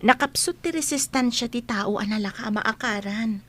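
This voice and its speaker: A woman's voice: a little high, normal speed, a bit bright. A woman playing a caring, earnest schoolgirl friend in a radio drama. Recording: faint background ambience and noise.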